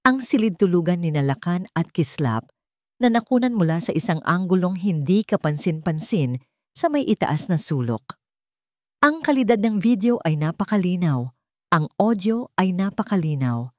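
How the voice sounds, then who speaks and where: A woman's voice: fairly low pitch, darkish tone, no echo, clean studio sound. A woman narrator reading a dramatic scripted story.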